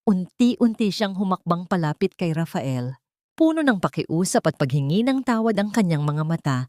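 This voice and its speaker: A woman narrates a dramatic audio story from a script. Her voice sits on the low side, and the sound is dry and close, with very little room in it. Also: a little mellow, no echo.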